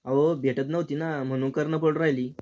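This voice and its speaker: A man's voice: on the high side, steady tone, fairly quick, a little mellow, highs cut off, close to an everyday voice. A man voicing a dim, whiny, crude young bridegroom in a cartoon comedy, with a rural Maharashtrian accent. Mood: blunt. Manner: naive, complaining.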